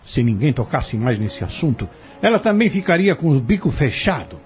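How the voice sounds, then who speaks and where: A man's voice: medium pitch, very animated, normal speed, a little mellow, close to an everyday voice. A man acting a cornered defendant in an audio drama, defiant and argumentative as he feigns innocence. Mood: indignant, accusing. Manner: argumentative, rhetorical, insistent. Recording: muffled lo-fi audio with music.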